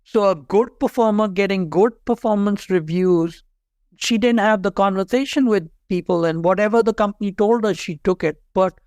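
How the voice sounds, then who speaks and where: A man in his fifties from Pakistan with a Pakistani accent, telling career stories as an interview guest in an earnest, steady way. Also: high voice, normal speed, no echo. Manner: earnest, steady, repeats key points. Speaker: guest, HR leader and author. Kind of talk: interview storytelling.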